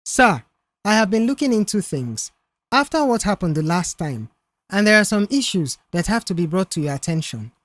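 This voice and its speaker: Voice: medium pitch, sing-song, moderate pace, no echo, close to an everyday voice. A narrator voicing a tense, earnest young male mechanic in an audiobook story. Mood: earnest, firm.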